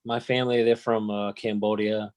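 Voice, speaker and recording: A man from Tennessee, a music producer, speaks as a podcast guest in a soft, hesitant way. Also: lowish voice, level tone, moderate pace, no room sound. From Tennessee, United States. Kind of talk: casual podcast chat.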